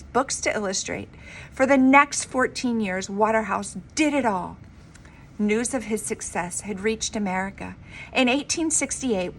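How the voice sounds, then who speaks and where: A woman reading a children's storybook aloud like a teacher, with an expressive, emphatic delivery. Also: medium pitch. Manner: dramatic, emphatic delivery with informal asides. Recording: clearly noisy homemade recording.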